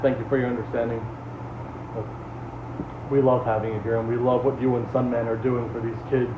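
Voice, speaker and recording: A man acting a weary, patient community leader in a horror series, earnest and halting as he gently reprimands. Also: medium pitch, little pitch movement, lightly acted. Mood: earnest, firm. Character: weary, patient male leader of an underground refuge. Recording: tinny, echoey, noisy lo-fi audio.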